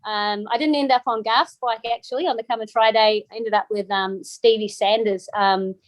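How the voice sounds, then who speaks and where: A woman's voice: a little high, steady tone, moderate pace, no room sound. A middle-aged woman from New Zealand with a Kiwi accent, chatting casually as an interview guest, full of ums and restarts.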